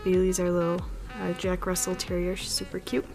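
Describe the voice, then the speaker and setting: A woman's voice: lowish voice, monotone, a little fast, vocal fry, over music. A woman hosting her own channel, giving a casual walkthrough in a carpeted room while filming close with a handheld camera. She talks in a chatty way, with many "like"s and "um"s. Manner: chatty, filler-heavy, frequent "like" and "um". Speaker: model horse channel host.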